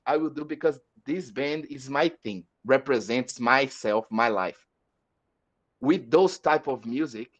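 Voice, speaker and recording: A man from Brazil speaking English with a Brazilian accent, a metal musician chatting on a podcast, halting and repeating words as he searches for them. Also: medium pitch. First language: Portuguese. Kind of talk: casual podcast chat. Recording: dull lo-fi audio.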